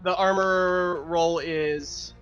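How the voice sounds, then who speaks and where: High-voiced, unhurried man playing a tabletop game. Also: fairly even intonation, a bit bright. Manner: sweary, reacting in short exclamations. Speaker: player in a tabletop roleplaying game. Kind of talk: casual game chat. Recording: dull lo-fi sound, music behind.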